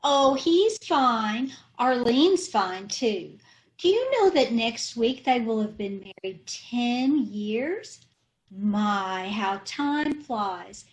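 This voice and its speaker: A woman's voice: on the high side, big pitch swings, extremely slow, full character voice. A woman playing a scatterbrained wife who mangles words, in old-time radio comedy style. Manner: ditzy malapropisms.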